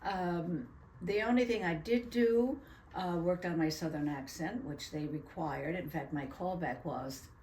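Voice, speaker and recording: An older actress from New York tells animated stories about her roles in a casual interview, with laughing asides. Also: lowish voice, a little slow, a little hiss or hum. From USA (New York). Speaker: guest actress. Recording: roomy echo.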